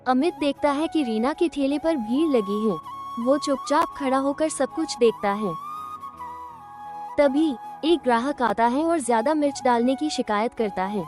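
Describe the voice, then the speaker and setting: A woman narrating a children's moral story in an even, simple storytelling manner. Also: sits high, unhurried, over music.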